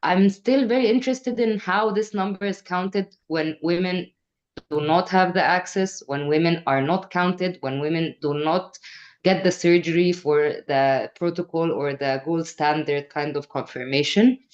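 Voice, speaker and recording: Low-voiced woman on a muffled call. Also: a little mellow. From Egypt. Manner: earnest, with frequent filler pauses. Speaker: panelist, researcher. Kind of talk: online panel discussion.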